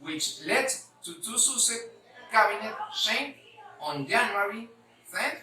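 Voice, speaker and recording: Slow, halting woman reading in echoey room. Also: mid-range voice, lively intonation, pushed and bright. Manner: halting, repeats words, choppy phrasing. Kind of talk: scripted history presentation read aloud. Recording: echoey room, distant mic.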